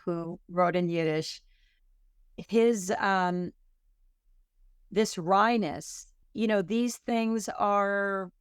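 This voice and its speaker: A woman author being interviewed on a podcast, answering thoughtfully and often pausing to rephrase. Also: medium pitch, clean tone, dry recording, studio quality. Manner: thoughtful, self-correcting, searching for words. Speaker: author guest.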